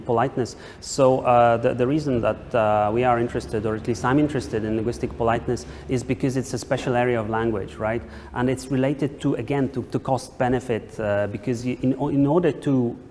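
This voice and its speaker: A man's voice: mid-range voice, darkish tone. A man answering questions as an academic presenter, explaining hesitantly and often checking with a tag question. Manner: hesitant, frequently checks with "right?". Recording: echoey hall, some background noise.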